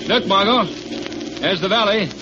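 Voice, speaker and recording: An actor in a 1930s radio drama playing a determined gentleman adventurer, grave and articulate. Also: high voice, moderate pace, natural voice. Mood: urgent, determined. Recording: scratchy, noisy, muffled 1939 radio recording.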